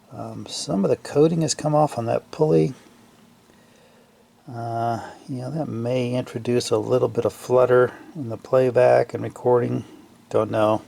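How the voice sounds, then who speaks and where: A man giving casual, unscripted repair commentary in a gravelly voice, thinking aloud haltingly in trailing fragments. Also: medium pitch, mellow. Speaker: hobbyist restorer narrating a repair video.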